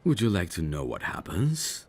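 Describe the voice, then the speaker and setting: A man playing a suave, teasing mafia boss in an ASMR audio roleplay, flirtatious with a faint edge of menace and an unhurried manner. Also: low-pitched, sing-song, breathy edge, exaggerated voice.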